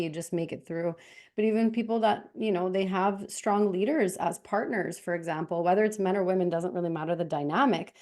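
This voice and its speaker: A woman from Canada hosts a conversational interview with a lowish, mellow voice. She talks earnestly and reflectively, often restarting her phrases as she thinks aloud. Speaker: host.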